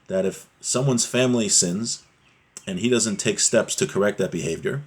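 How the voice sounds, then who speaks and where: A man's voice: lowish voice, normal speed. A man giving a religious lesson, reading Hebrew text aloud and explaining it phrase by phrase in English. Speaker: religious teacher.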